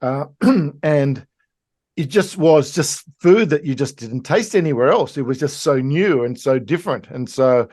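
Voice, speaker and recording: A man's voice: mid-range voice, animated, measured pace, darkish tone. Man hosting a casual podcast. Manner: chatty, fond reminiscing with fillers. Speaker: podcast host. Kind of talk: casual interview conversation.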